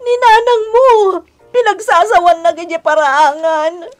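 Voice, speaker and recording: An aggrieved, very high-voiced young wife. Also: female voice, lively intonation, measured pace. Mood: tearful, wronged. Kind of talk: Ilocano radio drama acting.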